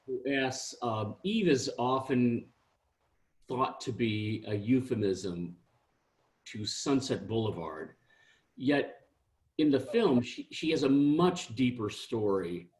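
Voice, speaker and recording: A man of medium pitch reads out an audience question as co-host. He goes slowly and in pieces, with lively rises and falls. The room echoes around him. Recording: roomy, echoing sound.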